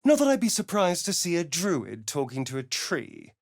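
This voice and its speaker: An actor playing a sardonic, preening vampire elf rogue in a video game, with an arch, theatrical, drawling delivery. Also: male voice, a little high, wildly swooping pitch, moderate pace, no room sound, studio quality. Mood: wry, dry. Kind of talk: video game character dialogue.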